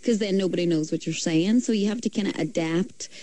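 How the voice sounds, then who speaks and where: Low-ish derry woman, mellow voice, rambly chatty radio guest. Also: brisk. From Derry, Northern Ireland. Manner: chatty, rambling, with many fillers and restarts. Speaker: singer guest. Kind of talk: casual radio interview chat. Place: radio studio.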